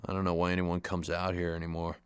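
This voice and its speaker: An actor playing a nervous, spaced-out shop owner in a video game, rambling in a hesitant stoner drawl. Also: male voice, deep voice, monotone, quick pace, clean tone, no room sound, natural voice. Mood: uneasy. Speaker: shopkeeper character in a video game.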